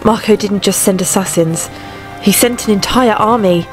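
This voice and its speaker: Woman playing young warrior heroine, determined, earnest, mid-pitched, soft music behind. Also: moderate pace, natural voice. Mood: urgent. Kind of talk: fan dub voice acting.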